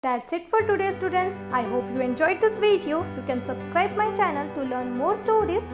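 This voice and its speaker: A woman reading a scripted children's story as a storyteller and teacher, slowly and deliberately. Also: extremely high pitch, lively intonation, music in the background.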